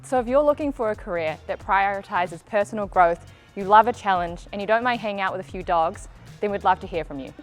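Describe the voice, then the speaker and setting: A woman's voice: mid-range voice, fairly quick, faint music behind. An Australian woman narrating a scripted company recruitment video as a presenter.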